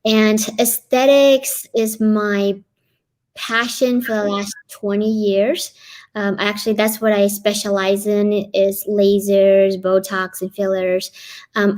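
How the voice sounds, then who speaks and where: A woman's voice: mid-range voice, a little mellow. A middle-aged woman from Kentucky, a guest on a live online interview, eagerly explaining her work. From Kentucky, USA. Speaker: guest, cosmetic physician assistant.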